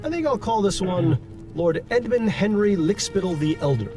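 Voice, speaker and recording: A man's voice: high voice, expressive, normal speed. A man voicing a sardonic character who dryly mocks paintings and trinkets in a video game. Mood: mocking. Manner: dry, mocking, playfully snide. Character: sardonic male inner voice mocking portraits. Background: game music.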